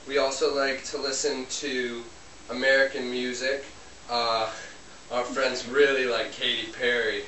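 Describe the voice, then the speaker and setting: A man plays a polite young Polish man who rambles and hesitates through every answer while cooking in a home kitchen. His mid-pitched voice is picked up by a camera mic across the room. The kitchen adds roomy echo, and a steady hiss runs under him. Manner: hesitant, many ums and uhs, rambling. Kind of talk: amateur comedy sketch interview. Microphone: camera mic from a distance. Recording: roomy, distant, hissy home video.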